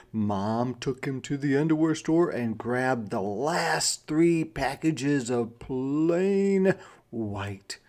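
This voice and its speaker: Very slow, dramatic male storybook reader. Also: medium pitch, a little mellow. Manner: dramatic pauses, drawn-out key words. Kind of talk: children's read-aloud narration.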